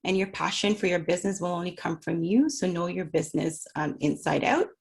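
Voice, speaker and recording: A woman's voice: fairly low pitch, fairly quick, gravelly. A middle-aged woman business owner speaking remotely at a virtual event, telling her story in a chatty, personable way with lots of "you know" fillers. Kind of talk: conversational talk about her business journey. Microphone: remote call for a virtual event.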